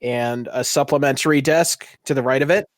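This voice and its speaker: Male game master, medium pitch, casual tabletop narration, dry recording. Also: brisk, no echo.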